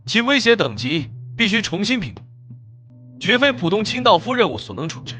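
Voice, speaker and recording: An actor playing a menacing assassin who serves a master, with theatrical delivery in an animated drama. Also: male voice, sits high, a little fast, full character voice. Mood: urgent, deferential. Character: menacing male assassin, deferential to his master. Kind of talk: animated motion-comic drama acting. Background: loud music.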